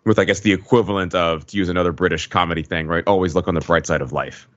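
Very deep fast-talking man, muffled. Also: fairly even intonation, fairly bright tone. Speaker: podcast co-host. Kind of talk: casual discussion.

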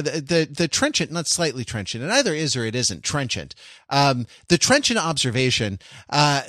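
A man co-hosting a podcast, chatting casually in a slightly high voice, with a close, dry sound. He wanders off on long tangents and keeps stopping mid-phrase to correct his own wording. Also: a bit bright, dry recording. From Los Angeles. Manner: rambling, digressive, self-correcting. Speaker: podcast co-host. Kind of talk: casual chat.

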